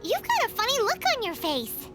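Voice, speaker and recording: A woman's voice: very high-pitched, huge pitch swings, normal speed, full character voice. A woman voicing a tiny floating girl companion in a game who is chirpy and blunt and calls herself by name. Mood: curious. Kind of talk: game voice acting. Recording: cutscene with loud music behind.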